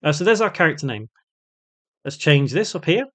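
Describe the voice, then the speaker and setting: A man presenting a casual step-by-step software tutorial, explaining and thinking aloud as he works. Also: fairly high pitch, very animated, brisk. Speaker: tutorial host.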